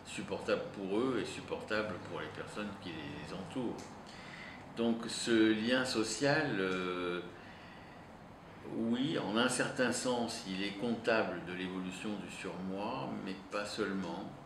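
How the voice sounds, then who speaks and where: A man's voice: lowish voice, unhurried. A man whose first language is Italian, answering as a psychoanalyst in an interview, thinking aloud with frequent hesitations. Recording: roomy echo, distant mic, noisy.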